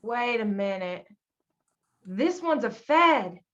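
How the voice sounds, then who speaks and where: A woman's voice: on the high side, expressive, slow, fairly bright tone, muffled recording. A woman acting a brash, bossy, streetwise criminal in a script table read. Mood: brash, commanding.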